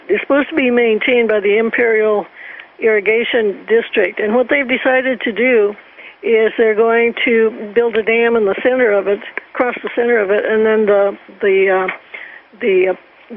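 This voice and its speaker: A woman speaking as a panelist, explaining news reports in a halting way with repeated words. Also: a little high. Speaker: panelist on a talk show. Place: remote call into radio show. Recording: tinny phone-like line with static.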